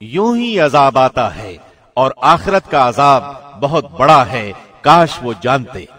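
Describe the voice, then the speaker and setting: A man with a solemn, measured, clearly articulated delivery, formally reading a scripture translation like a professional radio presenter. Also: medium pitch, sing-song, fairly bright tone.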